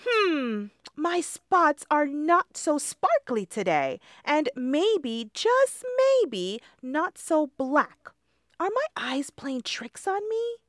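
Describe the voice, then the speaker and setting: A woman voicing a small, worried young female ladybug in a storybook, with a high squeaky voice. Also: very animated, drawn-out words, dry recording, clean studio sound, exaggerated voice. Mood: puzzled.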